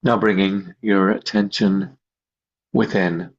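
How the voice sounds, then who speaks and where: A man leading a guided meditation as host, giving slow, calm instructions in measured short phrases with long pauses, recorded over a video call. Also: low-pitched, husky. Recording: dull lo-fi video call audio.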